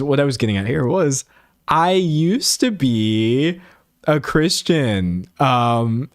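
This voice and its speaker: A man in his late twenties hosts a casual livestream in a mid-range voice. He rambles in an animated, self-mocking manner, slipping into imagined remarks as he goes. The sound is dry, with very little room echo. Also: mid-range voice. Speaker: livestream host. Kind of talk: casual chat, rambling monologue to viewers.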